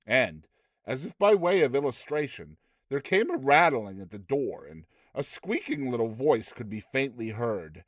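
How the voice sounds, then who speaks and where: Guy reading an old short story, theatrical storyteller style, measured, really lively pitch, dry close sound. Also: no room sound. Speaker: narrator, reader. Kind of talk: dramatized audiobook narration of classic fiction.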